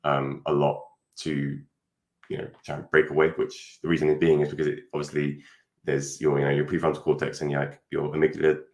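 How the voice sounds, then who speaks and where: Young man from the UK, very low pitch, loose hesitant delivery, recorded in an echoey room. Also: monotone, brisk, a little mellow. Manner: rambling, hesitant, lots of fillers. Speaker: guest. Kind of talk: casual interview chat.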